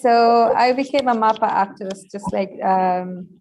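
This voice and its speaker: A woman answering on a discussion panel, earnest and halting, with many fillers and repeated words. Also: fairly high pitch, a little mellow, low-quality recording. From Kenya. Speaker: panelist, climate activist.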